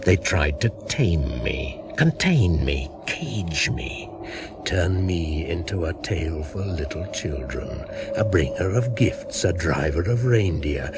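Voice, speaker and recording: A man's voice: rumbling low, exaggerated voice. An actor playing an ancient, brooding, scornful pagan shaman spirit in an incantatory radio drama monologue. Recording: 1980s radio broadcast, music underneath. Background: music.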